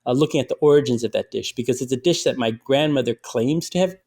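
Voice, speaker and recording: A middle-aged man from Detroit, a writer and filmmaker, chatting in an interview as an animated storyteller with lots of fillers and restarts. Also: mid-range voice, fast talker. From Detroit, USA. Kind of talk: conversational interview.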